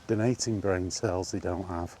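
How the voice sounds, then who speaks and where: A British man with a deep, dark-toned voice talks casually to his viewers as a film vlogger. He rambles bluntly, with exasperation and dry sarcasm. There is faint noise behind him. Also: fairly even intonation, moderate pace. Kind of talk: casual opinionated film chat, rambling monologue.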